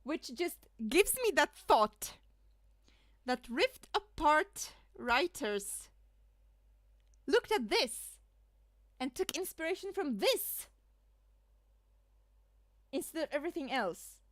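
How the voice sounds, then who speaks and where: A woman's voice: high-pitched, sing-song, slow pace, pauses often, dry recording. Rambling woman with fillers. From Poland. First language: Polish. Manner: rambling, laughing, many fillers. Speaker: streamer. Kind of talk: casual livestream commentary.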